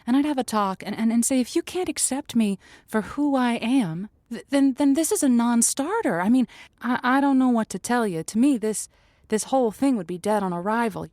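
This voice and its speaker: Comic call-in host: woman, slightly high register, conversational, emphatic, folksy, very dry sound. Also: expressive, normal speed, darkish tone, no echo. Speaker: advice show host.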